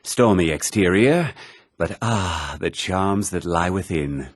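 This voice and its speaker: Vain gentleman rogue, low husky voice, pompous, flowery, fantasy game character. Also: lively intonation, slow pace, dry recording, studio quality, full character voice. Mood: smug, genteel. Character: vain, silver-tongued male ex-captain and fletcher. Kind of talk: video game character lines.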